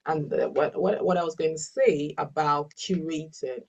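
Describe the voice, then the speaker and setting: A woman's voice: low voice, fairly even intonation, measured pace, a little mellow, recorded from a distance, muffled recording. A Yoruba-speaking woman, a life coach answering webinar questions earnestly and emphatically, often repeating her key phrases. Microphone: video call.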